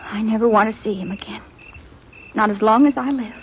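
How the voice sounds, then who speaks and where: An actress playing a shy, earnest young American country girl in a 1950s radio Western, speaking in a halting, plaintive way. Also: on the high side, lightly acted. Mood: hurt, downcast. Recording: dull lo-fi vintage radio, background noise.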